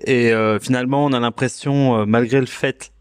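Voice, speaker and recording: A man hosting a casual solo podcast, talking in a relaxed, self-mocking way and pausing often with fillers and restarts. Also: medium pitch, clear, resonant tone, dry recording, clean studio sound. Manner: hesitant, full of filler sounds and restarts. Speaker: podcast host. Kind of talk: casual solo podcast chat about music.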